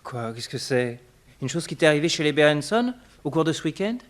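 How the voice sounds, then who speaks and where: A man's voice: fairly high pitch, sing-song, brisk. An actor playing a cheeky, curious young nephew who prods his uncle in familiar speech, in a 1960s French radio drama.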